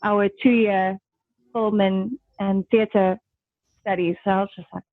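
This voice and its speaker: A woman's voice: mid-range voice, fairly even intonation, unhurried, no echo. South African woman on a tinny video call. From South Africa, Durban. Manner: chatty, laughs often, many fillers. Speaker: guest. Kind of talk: casual video-call chat. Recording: dry tinny call audio.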